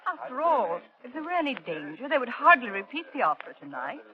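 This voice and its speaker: A woman's voice: high-pitched, very animated, fast. An actress playing a self-assured, dismissive lady opera-goer in a 1930s radio drama. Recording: muffled 1930s radio, crowd chatter behind.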